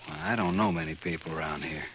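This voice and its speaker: Grave, menacing frontier loner, very low voice, deliberate delivery, faintly noisy 1950s radio western. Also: male voice, flat delivery, fairly bright tone. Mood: guarded. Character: brooding, stubborn male avenger, quietly bitter and menacing. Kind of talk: 1950s old-time western radio drama acting.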